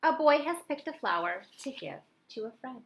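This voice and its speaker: Woman, fairly high voice, children's read-aloud style, expressive, dramatic emphasis. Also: moderate pace, a little hiss or hum. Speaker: storybook reader.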